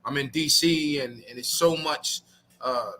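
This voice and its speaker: A man from Baltimore, an actor, joining an interview by video call from a film set, animated and joking in casual slang. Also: on the high side, a little slow, slight background noise. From Baltimore, USA. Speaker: actor guest.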